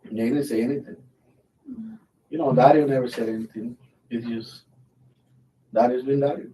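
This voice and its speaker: A man's voice: medium pitch, steady tone, moderate pace, warm tone. Blunt, cursing veteran man, distant mic. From Mexico. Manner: blunt, swears freely, easygoing storytelling. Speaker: military veteran guest, channel host. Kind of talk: casual live chat.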